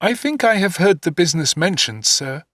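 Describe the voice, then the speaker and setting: Man doing a young boy, raised pitch, timid, polite, hesitant, deferential, close dry audiobook sound. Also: big pitch swings, normal speed, a bit bright, no echo. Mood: timid, uncertain. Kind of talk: dramatised audiobook acting.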